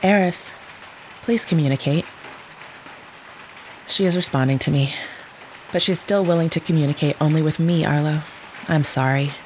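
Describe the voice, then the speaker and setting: A woman's voice: low-pitched, moderate pace, pauses often, close to an everyday voice, low-quality recording. Casting: actress playing formal, literal-minded woman caregiver, stilted and exact diction, audio drama, faint rain behind. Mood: gentle, concerned. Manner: stilted, precise, clinical phrasing without contractions. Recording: steady rain noise behind the voice.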